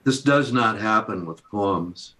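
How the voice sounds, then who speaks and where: A man reading a poem aloud in a measured, plain style. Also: on the low side, lively intonation, bright, forceful tone. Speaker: reader.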